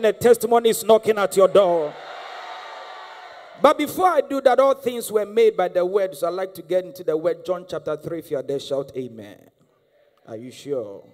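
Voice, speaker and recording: A man's voice: sits high, darkish tone. A man in his thirties with a Ghanaian accent, a guest prophet preaching a charismatic sermon on stage with a handheld mic, exhorting the crowd and repeating lines for them to answer. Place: large church hall on stage. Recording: live church hall with long reverb and music.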